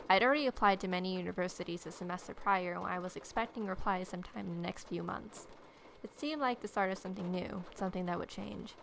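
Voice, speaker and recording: A woman's voice: mid-range voice, gravelly, a little hiss or hum. A woman doing a casual let's-play, reading game text aloud and adding wry, sardonic asides. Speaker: gaming video host. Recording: faint game music behind. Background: soft game music.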